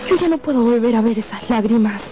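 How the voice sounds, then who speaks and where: An actress in a radio drama playing an earnest, pleading young opera singer who is in love and afraid. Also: female voice, fairly high pitch, lively intonation, fast, natural voice. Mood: anguished, fearful. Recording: music playing behind the voice. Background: music.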